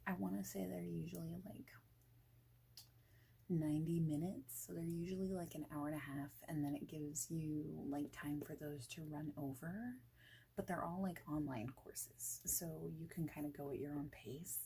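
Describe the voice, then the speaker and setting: A woman speaking softly and breathily, often near a whisper, in an informal, reassuring ASMR boss roleplay. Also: deep voice, steady tone, moderate pace, slight background noise, poor audio. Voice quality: soft, breathy, often dropping toward a whisper. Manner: informal, reassuring, rambling chat. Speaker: ASMR creator playing a friendly boss in a job interview roleplay.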